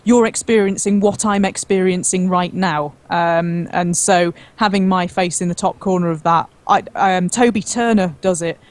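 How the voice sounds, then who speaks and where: A woman's voice: on the low side, normal speed, hardly any pauses, a little mellow, no room sound. A woman speaking as a guest on a gaming show, chatting casually and frankly about her opinions. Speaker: guest, gaming video creator. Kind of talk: casual chat.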